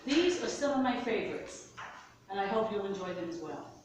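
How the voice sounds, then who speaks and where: A soft-spoken woman telling pioneer-style folk tales to a live audience, her voice lifting sharply in pitch at moments of surprise. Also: a little fast, a little hiss or hum. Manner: soft-spoken, animated storytelling with sudden pitch lifts. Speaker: storyteller. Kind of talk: oral storytelling to a live audience. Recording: far-off mic in an echoey hall.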